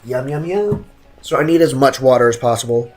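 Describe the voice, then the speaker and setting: Casting: female game streamer, very deep voice, slangy and teasing, slightly sick throat, faint music. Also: animated, brisk, darkish tone. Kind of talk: casual live gameplay commentary.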